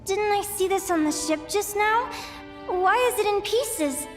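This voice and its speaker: A woman's voice: very high-pitched, unhurried, music in the background. A woman voicing a young adventurer in a game cutscene, eager and full of wonder as she talks to herself. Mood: puzzled. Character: young woman adventurer dreaming of owning a ship.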